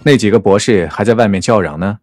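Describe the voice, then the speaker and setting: An actor playing a suave, gentle-mannered young usurper emperor, measured and courtly, in a period audio drama. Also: male voice, fairly low pitch, no echo, studio quality, close to an everyday voice. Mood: composed.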